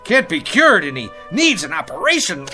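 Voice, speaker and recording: An actor playing an eager, pushy, luckless would-be writer, with an American accent and slangy, wheedling patter, in a comic drama. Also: male voice, fairly high pitch, wildly swooping pitch, normal speed, over music. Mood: eager pitching. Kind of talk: comic television drama acting.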